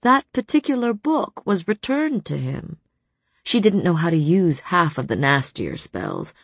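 A woman's voice: on the low side, very animated, unhurried, husky, dry recording, studio quality, heavily acted. A woman voicing a taunting, monstrous male warrior villain in an audiobook, crooning and sneering. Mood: bitter, intense.

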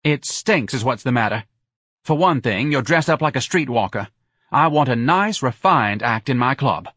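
A man's voice: mid-range voice, lively intonation, normal speed, a bit bright, breathy edge, dry recording, clean studio sound, voice pushed up. A man voicing a hard-nosed, scornful woman who runs a nightclub, snapping out crude orders in an audiobook. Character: hard-nosed, bossy, scornful female nightclub owner Lana.

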